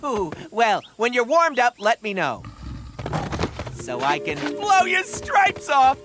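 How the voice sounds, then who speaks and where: A cheeky, taunting cartoon prey animal from a game. The high voice leaps wildly up and down, exaggerated and full of mockery. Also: male voice, sing-song, slow pace, over music, exaggerated voice. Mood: mocking. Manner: mocking, exaggerated. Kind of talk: animated game character acting.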